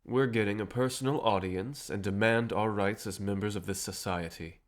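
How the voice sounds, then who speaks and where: An actor playing a cheerful, self-assured, century-old vampire rebel leader in an audio drama, courteous and persuasive with a theatrical manner. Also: male voice, on the low side, normal speed, lightly acted. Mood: determined, persuasive. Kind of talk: scripted audio drama acting.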